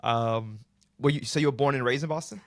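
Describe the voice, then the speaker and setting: A man's voice: medium pitch, a little fast, no echo, clean studio sound. A middle-aged man from Massachusetts, hosting an interview and asking long, rambling questions. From Massachusetts, US, then Florida. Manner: chatty, rambling questions, friendly. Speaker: podcast host. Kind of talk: casual interview talk.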